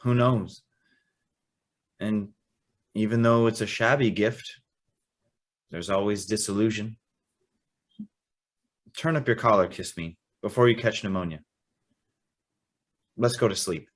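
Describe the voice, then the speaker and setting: An actor playing an earnest, wistful young man in a radio drama, measured and reflective with halting phrases. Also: fairly low pitch. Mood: wistful, resigned. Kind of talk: radio drama acting and narration.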